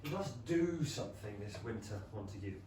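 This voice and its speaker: Man as courteous English gentleman, very echoey. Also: lowish voice, expressive, natural voice. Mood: reflective. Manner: polite, measured, dry. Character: courteous, drily humorous English period gentleman. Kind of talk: theatrical period comedy stage reading. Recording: very echoey distant theatre recording, noisy.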